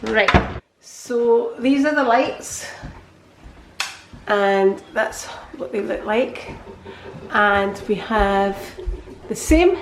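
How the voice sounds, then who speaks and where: A woman's voice: a little high, extremely slow, pauses often, echoey room, noisy background. A woman in her sixties chatting cheerfully to camera in her home kitchen, vlogging about her day. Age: 60s. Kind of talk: casual chat to camera.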